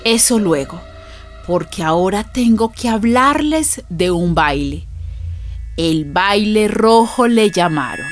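A woman playing a neighbour who narrates a radio drama in an unhurried, confiding storytelling manner. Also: mid-range voice, animated, faint music behind.

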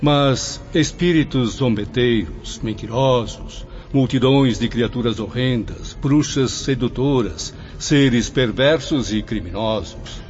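A man's voice: mid-range voice, takes it slowly, few pauses, a little mellow, soft background music, lightly acted. A man playing a proud, skeptical professor spirit in a radio drama, speaking formally and with some pomposity. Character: proud, skeptical male professor spirit, courteous but self-important. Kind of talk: scripted radio drama acting. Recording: dull lo-fi radio drama audio.

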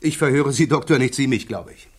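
A man's voice: mid-range voice, fairly quick, darkish tone, slightly rough, natural voice. Needling, sceptical prosecutor, acted by a man. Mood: cool, insistent. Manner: probing, sardonic, insistent. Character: male hearing prosecutor, sharp, sceptical, needling interrogator. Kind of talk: 1960s German radio play acting.

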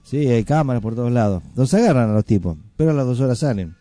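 A man's voice: medium pitch, expressive, quick pace, mellow. A man with a Rioplatense Argentine accent co-hosting a radio show in casual, joking banter.

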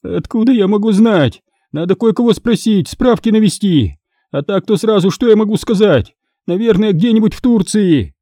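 A man's voice: high-pitched, animated, normal speed, mellow, no room sound, studio quality, voice pushed up. A man voicing a frightened, wheedling older woman crook in an audiobook, wailing and flustered as she pleads. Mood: exasperated, moaning.

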